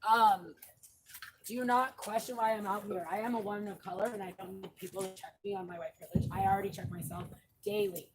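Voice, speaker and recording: A woman speaking forcefully and indignantly to fellow marchers outdoors at night, blunt and profane. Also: medium pitch, steady tone, fairly quick, a crowd in the background, low-quality recording. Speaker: protest marcher. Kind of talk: impassioned street speech. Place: outdoors at a night street protest.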